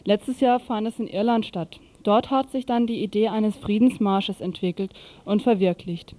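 Woman, radio presenter, scripted news reading, soft mellow tone, mid-pitched. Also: normal speed.

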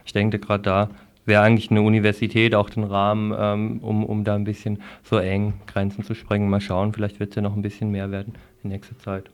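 A man's voice: fairly low pitch, monotone, fairly quick, smooth. A man presenting radio news in a loose, live style, hesitating as he goes.

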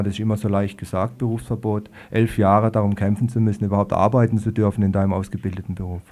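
A man's voice: fairly low pitch, a little mellow. A man hosting a live studio radio interview in an informal, slightly hesitant way, searching for his words. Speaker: radio host.

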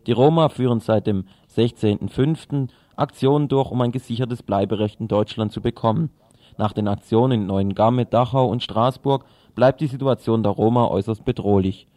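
A man's voice: mid-range voice, mellow, dry recording. A man presenting radio news, reading from a script and now and then stumbling over a word.

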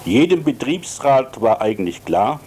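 A man giving prepared radio commentary as a works council member, with deliberate, emphatic phrasing. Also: mid-range voice, sing-song, a little mellow, slight background noise.

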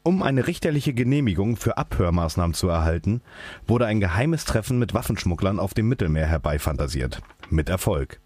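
Guy activist reading a written political speech, really low voice, super dry recording. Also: big pitch swings, fairly quick, darkish tone, dry recording. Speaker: activist speaker. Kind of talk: scripted political speech read aloud.

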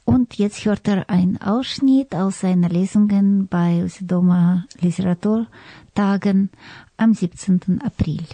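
A woman's voice: medium pitch, unhurried, dark tone, dry recording. A woman presenter giving a scripted introduction.

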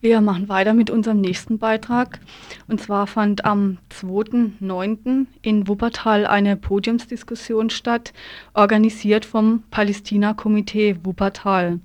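Woman presenting radio items, mid-pitched and explanatory. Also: steady tone, a little slow, darkish tone. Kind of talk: semi-scripted programme introduction.

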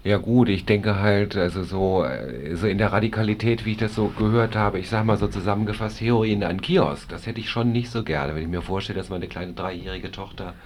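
A man speaking as a physician on a discussion panel, hesitant and measured as he makes his argument. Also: fairly low pitch. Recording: faint background noise.

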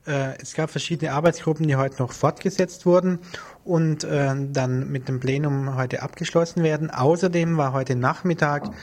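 A man's voice: fairly high pitch, fairly even intonation, moderate pace, a little mellow. A man giving unscripted, orderly explanations as an interview guest in a radio studio.

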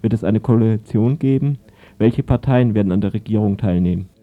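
A man's voice: lowish voice, brisk. A man asking formal questions as an interviewer. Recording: slightly dull radio sound.

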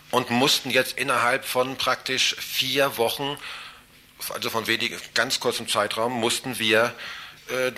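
A man with a very bright, forceful voice at a medium pitch. He gives spontaneous interview answers as a local association spokesman, haltingly, often restarting and rephrasing. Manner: halting, repeats and rephrases himself. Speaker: citizens' association chairman, interview guest.

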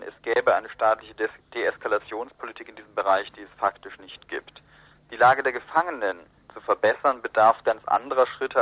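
A man's voice: on the low side, no echo. A man speaking as a journalist in an interview, emphatic and opinionated, giving his commentary. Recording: dull, dry lo-fi radio sound.

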